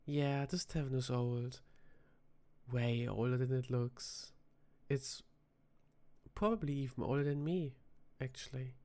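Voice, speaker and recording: A performer voicing a flirty, teasing vampire tavern bartender in a soft-spoken ASMR roleplay, with dramatic pauses. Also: mid-range voice, steady tone, lightly acted. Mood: teasing, intrigued.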